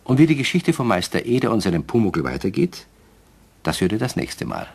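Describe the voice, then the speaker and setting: A genial storyteller with a deep, husky voice narrates a children's radio play. His delivery is very lively in pitch as he speaks straight to the young audience. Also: fairly quick, exaggerated voice. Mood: amused, genial. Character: genial male storyteller addressing child listeners.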